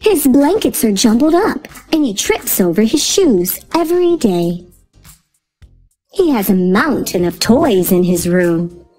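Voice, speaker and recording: A woman reading a children's picture book aloud in a slow, lilting storytelling voice. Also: on the high side, big pitch swings, darkish tone, soft background music. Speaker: storybook reader. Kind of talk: children's read-aloud narration.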